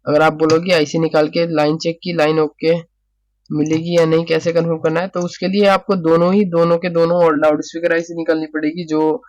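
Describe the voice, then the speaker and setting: A man's voice: fairly high pitch, little pitch movement, brisk, a little mellow, dry recording, muffled recording. A man talking through a repair tutorial as a technician, casually explaining, repeating phrases, checking in often and mixing in English technical terms.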